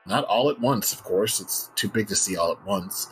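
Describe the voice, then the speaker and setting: A man's voice: on the low side, moderate pace, soft background music, natural voice, rough recording. A man playing an unnerved, obsessed interview subject in a dramatic reading, anxious and emphatic. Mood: overwhelmed unease.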